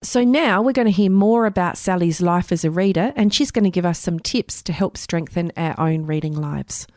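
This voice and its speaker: A woman with a lowish voice hosts a book podcast. The sound is dry and close, and she moves between linking remarks and interview questions. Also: moderate pace, darkish tone, no room sound. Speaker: school librarian.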